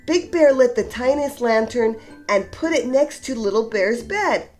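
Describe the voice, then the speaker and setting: A woman reads a picture book to young children in a high, very lively voice. Her narration is slow and deliberate, with expressive emphasis and faint music behind her. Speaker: children's storybook reader.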